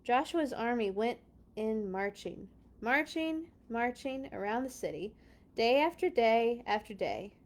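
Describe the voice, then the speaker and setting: A woman's voice: fairly high pitch, animated, a little slow. A woman hosting a children's read-along, reading a storybook expressively with chatty, playful asides and dramatic emphasis.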